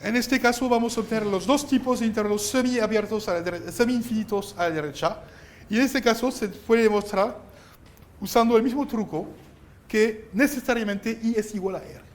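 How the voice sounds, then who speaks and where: A man whose first language is French, lecturing a university math class and explaining each step with halting restarts. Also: high voice. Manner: explains step by step, insistent, gently scolding; halting, restarts while writing on board. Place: lecture hall.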